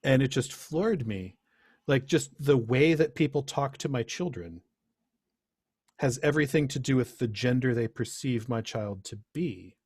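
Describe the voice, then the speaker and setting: A man's voice: mid-range voice, animated, measured pace, a little mellow, slightly rough, no echo, clean studio sound. A middle-aged man giving an informal workshop presentation in an earnest, reflective manner. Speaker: presenter, teacher.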